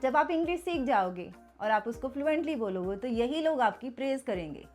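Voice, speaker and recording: A woman's voice: high-pitched, expressive, fairly quick, darkish tone, faint music behind. A woman teaching English, explaining step by step with patient, encouraging rhetorical questions and switching between Hindi and English. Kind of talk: casual explanatory lesson, mixing Hindi and English.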